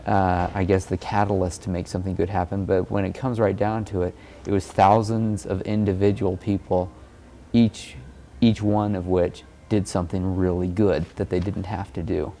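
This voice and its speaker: Male, low and mellow, earnest, halting, informal reflective talk. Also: level tone, a little hiss or hum. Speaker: amateur cyclist and blogger.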